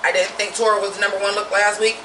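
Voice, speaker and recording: A woman's voice: flat delivery, quick pace, echoey room, recorded from a distance, background noise. Blunt, profane woman reviewing drag. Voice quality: naturally deep voice. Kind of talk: casual review vlog.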